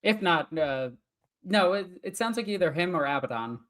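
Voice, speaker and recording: A woman from Iceland with an Icelandic accent, co-hosting a casual podcast as an enthusiastic, gushing fan who is quick to laugh. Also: on the low side, expressive, fairly bright tone. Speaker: podcast co-host. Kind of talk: casual chat.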